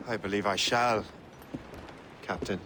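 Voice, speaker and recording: Laconic young sea captain, windy background. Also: male voice, fairly low pitch, steady tone, measured pace, pushed and bright. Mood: quiet, weary. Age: young adult. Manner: understated, laconic. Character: young 18th-century sea captain, dry, weary, resolute soldier. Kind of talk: video game cutscene acting. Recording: clear wind and sea noise behind. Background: boat, wind, water.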